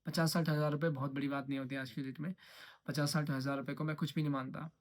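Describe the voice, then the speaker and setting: A young man from the Himalayan hills of Uttarakhand speaks, pitched a little above the usual for a man. His delivery is rapid and rather flat, rambling and repeating himself while slipping English phrases into casual vlog chat. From Uttarakhand, India. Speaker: trading vlogger.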